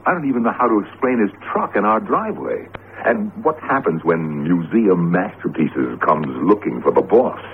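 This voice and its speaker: An actor playing a flustered married professor in a radio drama, nervous and stubborn, with a hesitant, rattled delivery. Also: male voice, sits low, big pitch swings, moderate pace. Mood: worried. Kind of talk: 1970s radio drama acting. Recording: muffled, noisy vintage radio broadcast.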